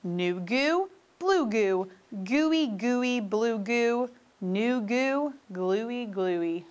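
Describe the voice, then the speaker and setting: A woman reads as a playful storybook fox. The voice is high and swings widely in pitch, coaxing and insistent as it delivers tongue-twisting patter. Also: expressive, extremely slow, a little mellow. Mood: eager.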